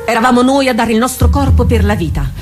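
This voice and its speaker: An actress playing a proud, war-weary woman commander in a theatrical radio drama, declaiming with dramatic pauses. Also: on the high side, lively intonation, close to an everyday voice. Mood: fervent, reflective. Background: music under the voice.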